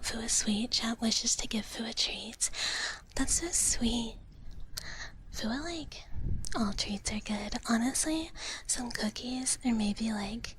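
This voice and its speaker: A woman whispering softly and breathily in a gentle ASMR livestream chat, close to the microphone. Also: fairly high pitch, slight background noise. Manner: slow, gentle, speaks of herself in third person as a dragon character. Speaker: live streamer hosting ASMR.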